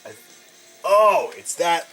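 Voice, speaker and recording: A man's voice: a little high, big pitch swings, slow pace, sharp tone. A man talking off the cuff as a gadget vlogger, rambling and wry with playful asides. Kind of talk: casual off-the-cuff product demo. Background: music playing from speakers at times.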